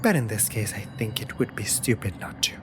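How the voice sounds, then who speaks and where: A man's voice: lowish voice, animated, brisk, over music, natural voice. A man voicing a teasing, dramatic raven familiar in a scripted fantasy audio roleplay, with playful, sardonic and theatrically indignant delivery.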